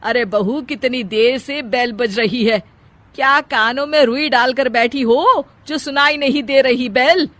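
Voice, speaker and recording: A woman voicing a scolding middle-aged mother-in-law in a cartoon family story, loud and complaining. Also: high-pitched, a little slow, no echo.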